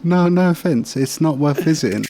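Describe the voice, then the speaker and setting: A man's voice: fairly high pitch, expressive, moderate pace, dark tone, faint music behind. A man with an English accent in a casual, slangy chat. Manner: slangy, informal. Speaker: talk show participant.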